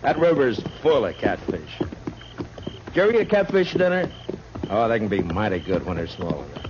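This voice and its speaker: An actor playing a tough but gentle American marshal in a 1950s radio western, speaking plainly in short sentences. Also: male voice, on the low side, very animated, normal speed. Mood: calm, easygoing. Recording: muffled, noisy 1950s radio recording.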